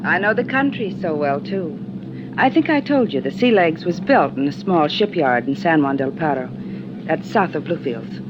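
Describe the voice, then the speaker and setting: Gushing genteel widow, muffled old radio. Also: female voice, low-pitched, lively intonation, moderate pace. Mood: gushing, wistful. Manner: breathlessly earnest, overdone assurances. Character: genteel, gushing widow woman professing sorrow. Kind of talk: 1950s radio drama acting. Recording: dull, noisy vintage radio recording.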